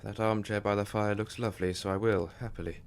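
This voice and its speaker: A man playing a flustered, scatterbrained gentleman in an audio drama, with a hesitant, fussy, wry manner. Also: fairly low pitch, level tone, fast, creaky.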